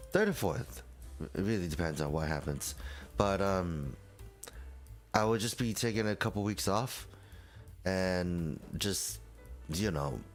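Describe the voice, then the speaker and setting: A young man streamer, stuffy from just waking up, rambling hesitantly through a casual announcement to his viewers. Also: deep voice. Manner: rambling, hesitant, many fillers and restarts.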